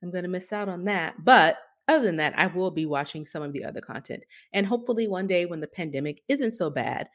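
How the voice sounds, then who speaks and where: American woman podcast host, kinda low voice, chatting thru tech news. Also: lively intonation, a little fast, hardly any pauses.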